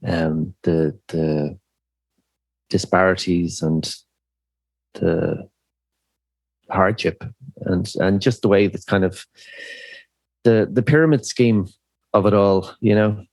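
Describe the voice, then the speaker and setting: A middle-aged Irish man with a very deep voice talks slowly through his thoughts, stopping and restarting often with fillers. The sound is dry and close, with no room around it. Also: steady tone, creaky, darkish tone, no room sound. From Ireland. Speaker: musician guest. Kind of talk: reflective podcast interview.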